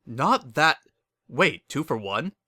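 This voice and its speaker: A man's voice: medium pitch, big pitch swings, slow, bright, forceful tone, dry recording, clean studio sound, pitched above the performer's own voice, exaggerated voice. A man voicing an exasperated, easily sidetracked cartoon unicorn pony, plain-spoken and grumbling.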